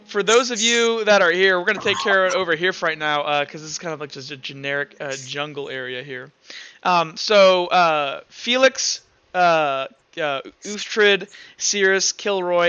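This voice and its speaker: A man's voice: on the high side, normal speed, sharp tone, clean tone, no room sound, muffled recording. A man improvising narration as a tabletop game master, informal and hesitant as he pieces the scene together.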